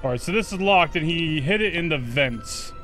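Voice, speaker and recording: Higher-voiced man doing excitable game commentary. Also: normal speed, faint music behind. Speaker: gaming video host. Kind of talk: casual let's play commentary.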